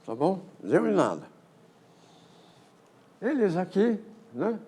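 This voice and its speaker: Gravelly older man, lecturing. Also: sits high, wildly swooping pitch, warm tone. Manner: halting, with many repeated words and false starts. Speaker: physics colloquium speaker. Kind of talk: historical lecture with slides. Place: lecture auditorium.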